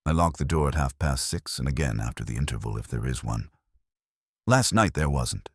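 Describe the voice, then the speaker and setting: A man's voice: rumbling low, lively intonation, moderate pace, breathy edge, dry recording, voice pushed down, full character voice. A man voicing a weary, grumbling older male caretaker in an audio story, dry, clipped and grudging. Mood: dry, sardonic.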